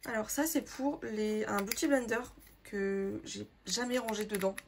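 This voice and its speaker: Young woman, hoarse and gravelly, rambling casual vlog talk, noisy background. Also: mid-range voice, fairly even intonation, a little slow. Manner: chatty, rambling, lots of fillers. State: hoarse, sore throat. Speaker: makeup artist vlogger. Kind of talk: casual sorting vlog, talking to viewers.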